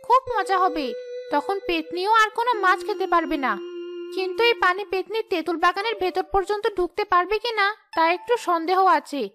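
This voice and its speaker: Very high-pitched sulky ghost wife voiced by a woman. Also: sharp tone, faint music behind. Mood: gleeful scheming. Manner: whining, scheming, quick to complain. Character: sly, sulky, greedy female ghost wife craving fish. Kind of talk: cartoon ghost-story dialogue.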